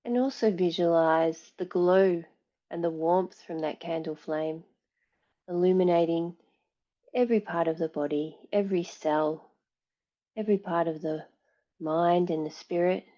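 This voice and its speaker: A woman teaching yoga nidra, guiding relaxation in a calm, soothing, measured way with long pauses. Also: on the low side, muffled recording. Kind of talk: guided relaxation, meditation instruction.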